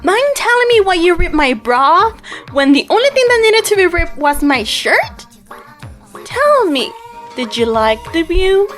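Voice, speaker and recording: A woman's voice: very high-pitched, very animated, a little slow, a bit bright, soft background music, exaggerated voice. A woman playing a feisty, sarcastic, defiant young woman in an amateur fantasy audio drama. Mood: indignant. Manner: sarcastic, exclamatory.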